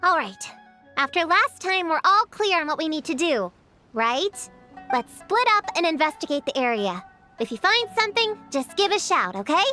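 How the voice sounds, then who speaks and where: A tiny know-it-all witch girl gives orders in a very high, bouncy voice. She is earnest and keen to lead the group. Soft game music plays faintly behind her. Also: big pitch swings, normal speed, full character voice. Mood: commanding. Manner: earnest, takes charge. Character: bookish little witch girl, bossy leader. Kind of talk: video game voice acting.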